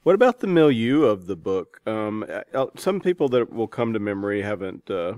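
A man interviewing a guest in a casual, halting way, rephrasing his questions as he goes. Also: fairly low pitch, sing-song, darkish tone, no echo. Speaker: podcast interviewer.

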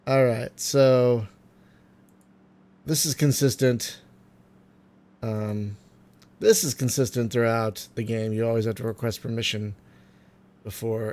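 Phlegmy man chatting through a game. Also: mid-range voice. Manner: chatty, frequent ums and uhs, trailing off quietly. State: phlegmy, getting over a cold. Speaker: gaming video host. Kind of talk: casual let's-play commentary.

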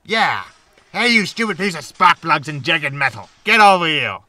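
A man's voice: on the high side, sing-song, moderate pace, pushed and bright, far from the mic, slight background noise, exaggerated voice. An actor playing a smarmy, irritable male used-spaceship salesman in a sci-fi comedy audio drama. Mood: exasperated.

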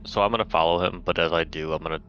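A man's voice: low voice, steady tone, racing, a bit bright. A man playing a tabletop game, talking casually through what his character does. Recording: dull audio, faint background noise.

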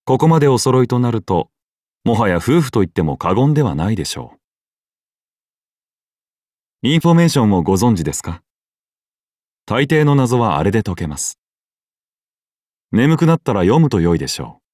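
A man voicing a serene, whimsical golden sheep deity in a game, with polite, solemn deadpan delivery. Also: fairly low pitch, moderate pace, long pauses, dry recording, clean studio sound. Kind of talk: scripted game character voice lines.

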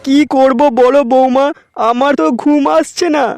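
A man voicing a crude, bossy older father-in-law in a comic cartoon, wheedling in a pitched-up voice. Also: level tone, moderate pace. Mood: pleading, impatient. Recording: music behind the voice.

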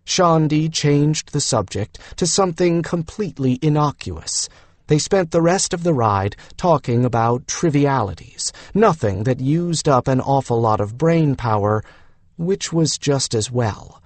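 A man's voice: medium pitch, expressive, unhurried, a little mellow, no echo. A man reading a fantasy novel aloud as a scripted audiobook narration.

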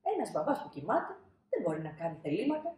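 A woman in her thirties narrating a children's storybook expressively, with dramatic pauses and emphasis. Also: medium pitch, wildly swooping pitch, mellow. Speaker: storybook reader. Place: small indoor room, seated. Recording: roomy indoor echo.

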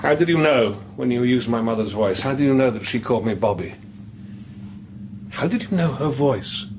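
A male actor plays a thoughtful priest in a naturalistic radio drama. His mid-pitched voice moves with lively, expressive inflection, gentle and searching, over faint background ambience. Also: normal speed, a little hiss or hum, lightly acted. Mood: probing. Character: gentle, probing priest, an investigator sent from Rome.

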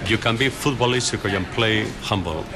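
A man's voice: lowish voice, normal speed, a crowd in the background. A middle-aged man from the Basque Country, a native Basque speaker, talking in a newsroom in emphatic, repetitive lists and searching for words in English. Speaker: Basque newspaper figure. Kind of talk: interview in English. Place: busy newsroom office.

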